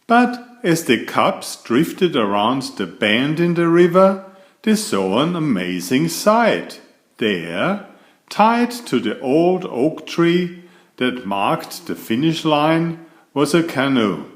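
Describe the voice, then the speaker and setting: A man reading a children's picture book aloud in a deliberate, expressive storytelling way. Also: fairly high pitch.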